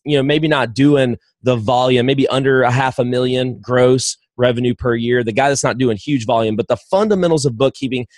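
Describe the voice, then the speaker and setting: A man's voice: medium pitch, dry recording. Eager, rambling man hosting a podcast. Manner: enthusiastic, rambling, many restarts. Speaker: podcast host. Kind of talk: podcast interview.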